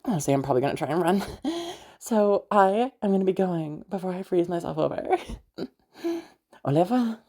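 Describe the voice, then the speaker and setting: A woman playing a friendly young woman with a hidden obsessive side, in roleplay audio addressed to the listener, with a husky, hesitant delivery. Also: on the low side, sing-song, normal speed, mellow. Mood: teasing, reassuring.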